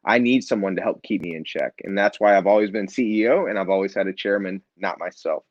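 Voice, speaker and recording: A man, a nonprofit founder, telling his story in an interview as an earnest, animated storyteller who acts out the people he quotes. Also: deep voice, fast talker, no echo, highs cut off.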